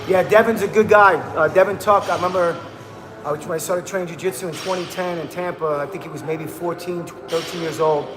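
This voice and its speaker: A man's voice: high-pitched, steady tone, brisk. A man hosting a casual livestream, talking in a hyped, slangy way and calling viewers bro and man. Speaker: livestream host. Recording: echoey room with music behind.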